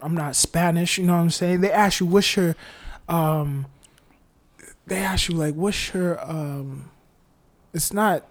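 A man's voice: fairly high pitch, fairly even intonation, a little slow, pauses often. A man chatting casually as a podcast co-host, slangy and repeating phrases for emphasis. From New York, United States.

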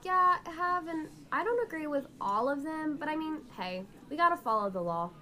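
A woman's voice: sits high, lively intonation, moderate pace, sharp tone, pitched above the performer's own voice. A woman playing a young woman who patiently explains history to her friend in a scripted amateur radio drama. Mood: chatty, friendly.

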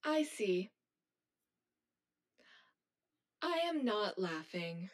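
A woman's voice: medium pitch, big pitch swings, takes it slowly. Woman playing a stiffly formal female robot. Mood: matter-of-fact. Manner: formal, stilted, literal robotic phrasing. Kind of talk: audio drama acting.

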